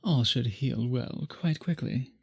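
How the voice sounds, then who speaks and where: A man playing a possessive, doting male vampire in an intimate ASMR roleplay, speaking formally in a husky, breathy murmur that often drops to a whisper. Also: mid-range voice, slow pace, a little mellow, heavily acted. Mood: apologetic, doting.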